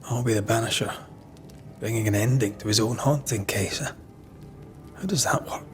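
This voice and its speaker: An actor playing a grieving, remorseful ghost hunter in a video game, hushed, hesitant and earnest. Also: male voice, on the low side, normal speed, slight background noise, natural voice. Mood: uneasy. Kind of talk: dramatic video game acting.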